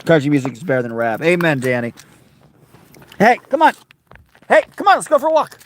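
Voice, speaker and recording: A man's voice: fairly high pitch, huge pitch swings, brisk, slight background noise. A man rambling casually on a livestream from a car, talking to his dog and his viewers. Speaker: livestreamer on a road trip.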